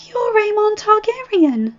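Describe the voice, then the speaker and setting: A woman's voice: very high-pitched, sing-song, measured pace, voice pushed up, exaggerated voice. A woman voicing a bold, earnest young noblewoman in a fantasy story, with direct and formal speech. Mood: astonished. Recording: muffled audio with music.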